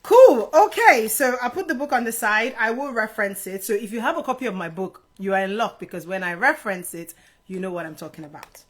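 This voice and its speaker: A woman's voice: on the high side, lively intonation, fairly quick. Chatty woman hosting a casual livestream. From Nigeria. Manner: chatty, informal, directly addressing viewers. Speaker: live stream host, HR career adviser. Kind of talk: casual live stream Q&A chat to viewers.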